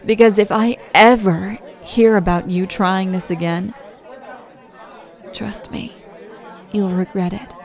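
A woman playing a slow-spoken, protective girlfriend. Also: mid-range voice, a faint crowd, close to an everyday voice. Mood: furious. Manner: speaks straight to the listener as "baby". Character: fiercely protective, loving young girlfriend. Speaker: roleplay audio performer. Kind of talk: girlfriend roleplay audio.

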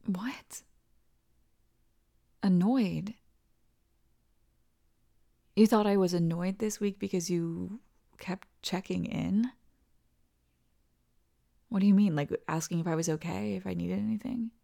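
A woman voicing a tender, devoted young girlfriend in an ASMR roleplay, soft and reassuring and often slipping into whispers. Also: lowish voice, takes it slowly, creaky, pauses often.

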